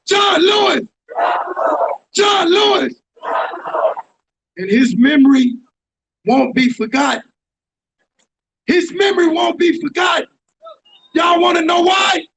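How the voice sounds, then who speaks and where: A man at an outdoor street protest, shouting chants and leading the crowd in call-and-response. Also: extremely high pitch, animated, slow pace, vocal fry, long pauses. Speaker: protest rally speaker. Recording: loud crowd, noisy outdoor rally.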